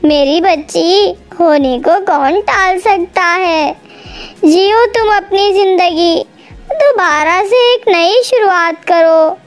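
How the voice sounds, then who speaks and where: A woman's voice: very high-pitched, takes it slowly, exaggerated voice. A woman voicing a kind, protective older mother-in-law bird in a cartoon, earnest and consoling.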